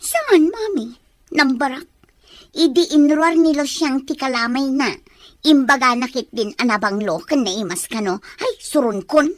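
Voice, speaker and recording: An actress playing a petulant, scheming young daughter in an Ilocano radio drama. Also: female voice, high-pitched, expressive, measured pace, no echo. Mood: complaining. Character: scheming young woman, petulant daughter obeying her mother.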